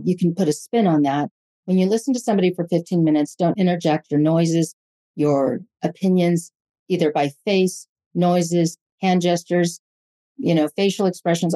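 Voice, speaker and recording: Casting: middle-aged woman coach, lowish warm mellow voice, measured and explanatory. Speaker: parenting coach, guest. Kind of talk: casual conversation.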